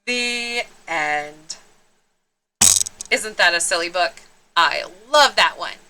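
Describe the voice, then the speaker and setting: A woman's voice: lowish voice, expressive, slow pace, noisy background. A woman narrating a children's storybook expressively, with theatrical, dramatic emphasis.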